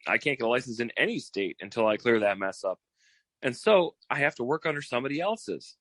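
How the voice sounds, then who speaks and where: An actor playing a cocky, boastful, theatrical private detective in a 1940s style radio comedy, pitching himself in a showy, wheedling way. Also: male voice, lively intonation, brisk, a bit bright, no room sound. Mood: earnest pitch. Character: cocky, theatrical fast-talking male private detective eager for partnership.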